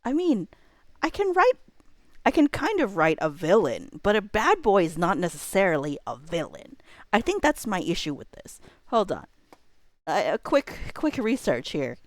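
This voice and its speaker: A woman's voice: medium pitch, sing-song, moderate pace, no room sound. A woman livestream host chatting casually and thinking aloud, rambling and often interrupting herself. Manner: rambling, self-interrupting, informal.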